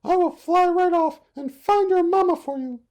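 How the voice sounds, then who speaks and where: A kindly mother dove from a storybook played by a man talks in a very high, cooing falsetto. She sounds motherly and fusses sympathetically. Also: moderate pace, darkish tone. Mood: sympathetic.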